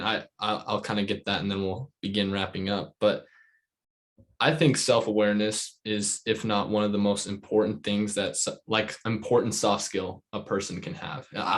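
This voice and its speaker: Low-voiced man hosting a casual interview. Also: a bit bright. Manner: agreeing, halting, thinking aloud. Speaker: podcast host.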